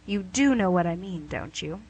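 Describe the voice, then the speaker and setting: A woman's voice: lowish voice, expressive, normal speed, a little mellow, breathy edge, rough recording. A woman playing a smug, coaxing female devil in a comic radio play, with a theatrical, glib delivery. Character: smug, wheedling, image-conscious female devil called Lucy.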